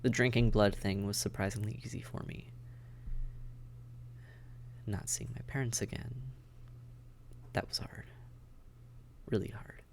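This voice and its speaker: A man's voice: lowish voice, creaky. A man acting a friendly, dry-witted vampire caseworker in a conversational audio roleplay, wry, understated and matter-of-fact. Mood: amused, reassuring, then subdued, sad. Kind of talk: conversational audio roleplay, one side of a dialogue.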